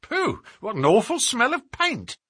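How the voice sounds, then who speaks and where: A man's voice: fairly high pitch, big pitch swings, unhurried, sharp tone, husky, no echo, clean studio sound, pitched above the performer's own voice, heavily acted. A man voicing a respectful, plain-spoken and often puzzled police inspector in an audiobook. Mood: disgusted.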